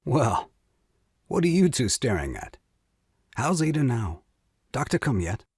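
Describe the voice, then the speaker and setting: A man's voice: medium pitch, sing-song, measured pace, a little mellow, husky, no room sound, studio quality, exaggerated voice. A man playing a flustered, indignant young new father in a comic stage farce, blustering and exclaiming. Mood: groggy, puzzled.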